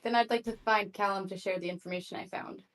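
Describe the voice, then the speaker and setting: A woman's voice: mid-range voice, steady tone, fast talker. A woman playing a tabletop roleplaying game, chatting casually and a little hesitantly in character. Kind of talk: casual improvised roleplay chat.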